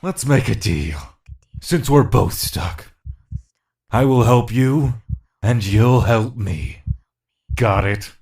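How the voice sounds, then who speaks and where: Man voicing slow, gravelly sinister dark power. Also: on the low side, expressive, heavily acted. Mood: sinister coaxing. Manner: commanding, coaxing. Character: sinister trapped male dark power urging vengeance. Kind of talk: audio drama acting.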